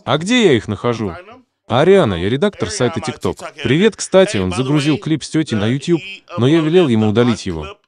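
A man dubbing a podcast host, excitable and quick to talk over others. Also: medium pitch, crowd noise, close to an everyday voice. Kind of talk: dubbed casual podcast chat.

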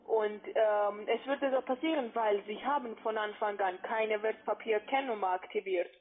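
A woman with a foreign accent, speaking a second language hesitantly as she explains a sales pitch over the phone, often adding questioning checks. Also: fairly even intonation, normal speed. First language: not German, speaks it as a second language. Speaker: call-centre caller posing as financial adviser. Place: phone call. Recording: tinny narrow-band phone line.

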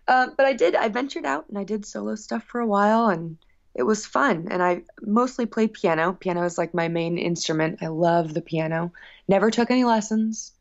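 Woman, medium pitch, musician interviewee, casual conversation, effusive storytelling, slightly muffled lo-fi sound. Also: normal speed, vocal fry. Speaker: musician guest being interviewed.

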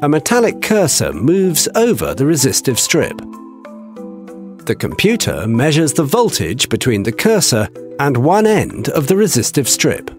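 A man giving scripted technical narration for a training video, with a measured, explanatory delivery. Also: mid-range voice, huge pitch swings, faint music behind.

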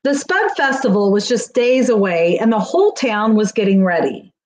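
A middle-aged woman reading a children's picture book aloud in an expressive storytelling manner. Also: mid-range voice, normal speed, a little hiss or hum. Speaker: school staff reading aloud. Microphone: webcam on a video call, near.

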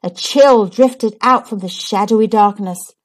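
A woman reading a children's story aloud with dramatic, deliberate, expressive storytelling. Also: mid-range voice. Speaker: storybook reader.

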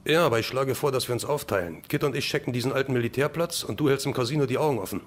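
A man's voice: medium pitch, racing, natural voice. A man playing an easygoing, loyal crime-fighting agent in an amateur radio play, casual and reassuring. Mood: casual, decisive.

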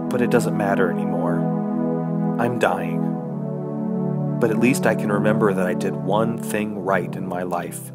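A man's voice: on the low side, lightly acted. A man playing a desperate, dying man trapped in the woods, narrating his ordeal intensely in a horror radio play. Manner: intense, swinging from weary musing to yelling. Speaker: lead actor and first-person narrator. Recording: music behind the voice.

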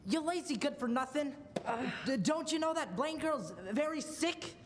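Radio play druggist male actor, drunken bully, extremely high voice, faint room noise. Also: lively intonation, moderate pace. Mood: angry, bullying. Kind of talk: stage radio-play acting. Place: school auditorium stage. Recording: noisy live auditorium stage.

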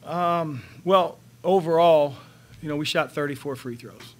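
A man in his fifties, a head coach answering questions at a post-game press conference, speaking in a measured, halting way with many fillers, into a table microphone in a press room. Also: on the high side, a little hiss or hum. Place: press room in front of a sponsor backdrop. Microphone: table microphone on a stand.

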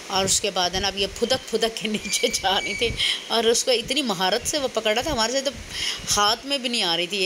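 A woman chattily narrating a travel vlog in voice-over, talking straight to her viewers. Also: mid-range voice. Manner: chatty, conversational, addressing viewers directly. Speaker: vlog host. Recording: clear steady background noise.

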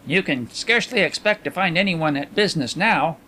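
A man's voice: on the high side, expressive, fairly quick, pushed and bright, slight background noise, voice pushed up. A man voicing a genial, shrewd middle-aged male coin dealer who chats in an easygoing, knowing way. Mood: chatty.

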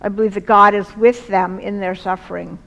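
A middle-aged woman preaching a sermon from a lectern in a large church, speaking earnestly in slow, deliberate phrases. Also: mid-range voice, fairly even intonation, gravelly, fairly bright tone. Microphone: lectern mic, far camera. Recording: long church reverb.

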